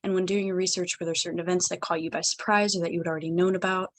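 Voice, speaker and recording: A woman's voice: fairly low pitch, level tone, rapid-fire, no echo. A woman moderating a panel in an upbeat, giggly way as she moves on to the next question.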